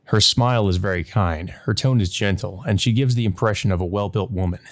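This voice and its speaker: Guy with kinda low voice doing a visual novel lets play, reads the text and stumbles thru his own comments. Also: a little fast. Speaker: let's play host.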